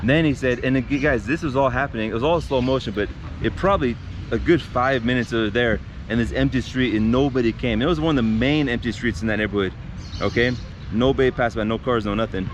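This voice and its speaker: A man at a middle pitch talks straight to camera outdoors while traffic rumbles clearly behind him. He tells the story casually, restarting sentences, using fillers and calling his viewers guys. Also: quick pace. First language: not Spanish. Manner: conversational retelling with many restarts and fillers, addressing viewers as guys. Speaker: vlogger. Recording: outdoor street traffic noise.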